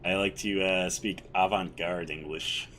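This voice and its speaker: A man calmly talking through a driving game as a streamer, with a mellow, fairly monotone voice and a rambling, self-correcting way of speaking. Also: deep voice, a little slow, a little hiss or hum. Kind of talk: casual gameplay commentary.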